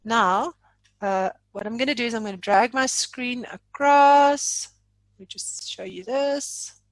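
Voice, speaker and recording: A woman with a fairly high voice leads an informal online training session. She talks in a chatty, playful way with plenty of exaggeration as she walks attendees through software. The sound is dry and close. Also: measured pace, no echo. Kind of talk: informal live webinar walkthrough.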